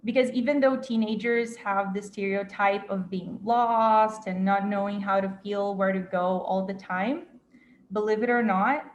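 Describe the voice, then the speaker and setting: A woman's voice: medium pitch, slight background noise. A woman in her twenties with a Brazilian Portuguese accent, giving a grateful prepared speech with hesitant fillers. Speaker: former exchange student speaker.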